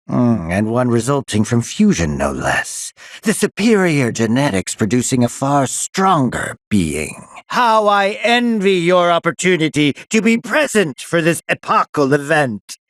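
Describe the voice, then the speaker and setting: An actor playing an arrogant, gleefully sinister older mad scientist in a video game, with pompous, leering delivery. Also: male voice, fairly high pitch, sing-song, a bit bright, no echo, studio quality. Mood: intrigued, gloating. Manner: pompous, drawn-out, leering delivery with formal wording. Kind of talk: theatrical video game villain acting.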